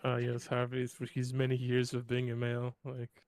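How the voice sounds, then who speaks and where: A man's voice: mid-range voice, monotone, moderate pace, darkish tone, dry recording. A man trading casual jokes as a player on a tabletop game stream. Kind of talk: casual joking banter.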